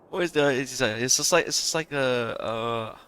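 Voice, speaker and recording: A man giving casual, offhand gameplay commentary in a halting mutter, reading choices aloud. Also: mid-range voice, fairly bright tone, clean tone.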